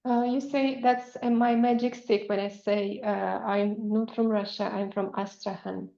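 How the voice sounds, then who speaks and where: A woman language coach asking calm, probing questions over a video call. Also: mid-range voice, measured pace, gravelly. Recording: dull echoey room sound.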